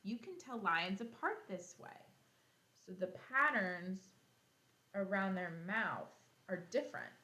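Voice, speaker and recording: Woman, teacher, children's read-aloud, bright voice, expressive and explanatory, echoey room sound. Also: medium pitch, slow pace. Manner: expressive, explanatory, thinks aloud for young pupils. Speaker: elementary school teacher.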